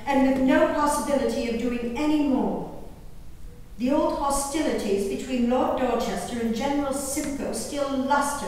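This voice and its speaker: A distant, heavily reverberant hall recording with some hiss carries a woman acting a colonial-era gentlewoman. Her voice sits fairly high, and she delivers her diary as a stage monologue, earnest and emotive, with formal, theatrical diction. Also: natural voice. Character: grieving, nature-loving 18th-century colonial gentlewoman reading her diary. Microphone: distant room microphone. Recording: very echoey hall, distant mic, background hiss.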